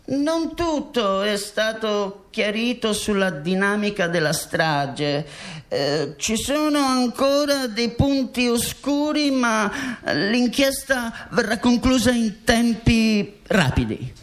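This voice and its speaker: Radio play role: stern male official, high-pitched, formal and deliberate , acted by a man. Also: animated, a bit bright, full character voice. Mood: guarded, official. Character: stern Italian public official making formal statement. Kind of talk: radio drama acted statement.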